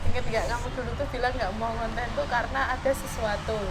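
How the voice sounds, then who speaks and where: A young woman chats through a film review, her voice sitting a little high. She talks loosely and often repeats her partner's words. Loud passing vehicles roar behind her in a public spot. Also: fairly even intonation, fast. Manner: chatty, laughs easily, echoes her co-host. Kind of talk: casual chat. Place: public place with traffic. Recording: loud traffic noise behind.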